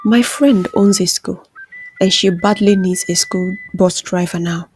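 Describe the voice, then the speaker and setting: A woman voicing a shrewd female employer and crime partner, measured and persuasive, in a narrated story. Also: fairly low pitch, fairly even intonation, a little mellow, soft background music, natural voice.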